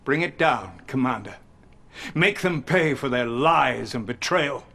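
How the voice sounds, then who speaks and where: An actor playing a stern allied leader who gives firm orders to a commander. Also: male voice, mid-range voice, lively intonation, unhurried, gravelly, pushed and bright, breathy edge, heavily acted. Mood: commanding.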